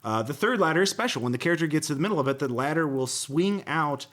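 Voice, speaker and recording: A man's voice: fairly high pitch, expressive, fast talker, a bit bright. A man hosting a casual tabletop gaming podcast, sometimes reading rulebook passages aloud and sometimes talking conversationally. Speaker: podcast host.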